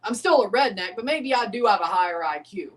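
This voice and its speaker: A man's voice: high-pitched, expressive, fairly quick, a bit bright, distant mic. A man, hosting a casual livestream reaction and rambling profanely while second-guessing himself. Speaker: livestream host reacting to a match.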